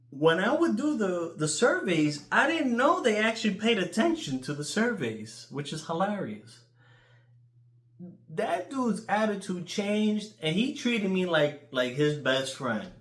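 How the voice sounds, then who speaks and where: Animated New York guy, echoey room. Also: sits high, unhurried. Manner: animated, crude, swearing freely. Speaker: YouTuber. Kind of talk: casual rambling storytelling.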